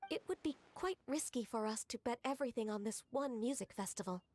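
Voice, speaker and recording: An actress playing a gentle, dutiful young female secretary in a video game, formal, measured and polite. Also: a little high, lively intonation. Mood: doubtful, measured.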